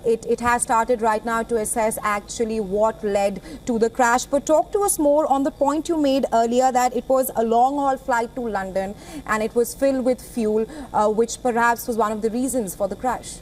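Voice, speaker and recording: Female breaking-news anchor, fairly high register, light ambient noise behind the voice. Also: moderate pace, hardly any pauses, darkish tone. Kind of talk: live breaking news interview.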